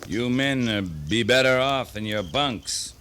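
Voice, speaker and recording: An actor playing a tough, steady cavalry captain in a 1950s radio western, terse and matter-of-fact. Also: male voice, mid-range voice, measured pace, slight background noise. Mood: stern.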